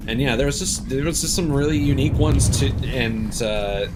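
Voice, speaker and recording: A man giving casual live commentary as he plays a video game, talking haltingly as he thinks aloud. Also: medium pitch, heavy background noise.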